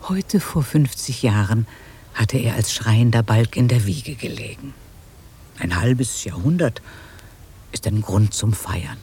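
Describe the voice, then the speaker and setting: A man narrating a radio drama in a measured, literary storytelling manner. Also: mid-range voice, animated, a little mellow, slight background noise.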